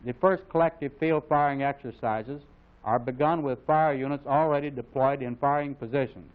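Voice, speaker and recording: A man with an American accent narrates an old military training film. His delivery is formal and authoritative, reading each instruction in a measured, scripted way. The sound is very narrow and tinny, like a vintage film soundtrack, with his voice at an ordinary male pitch.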